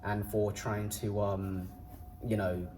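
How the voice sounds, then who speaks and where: A man's voice: on the low side, little pitch movement, slow pace, darkish tone, a little hiss or hum. A young man speaking as a guest, thoughtful and hesitant with many fillers. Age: young adult. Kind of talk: casual interview chat.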